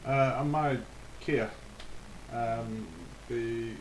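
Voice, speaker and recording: A man's voice: mid-range voice, steady tone, drawn-out words. A British man giving a casual DIY vlog explanation in an informal, hesitant, rambling way that tends to trail off. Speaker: hobbyist video host. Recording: echoey, clearly noisy home-video sound.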